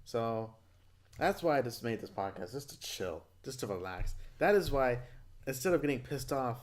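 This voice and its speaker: A man hosting a casual podcast, retelling stories in a rambling, profane, animated way. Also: mid-range voice, moderate pace. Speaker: podcast host.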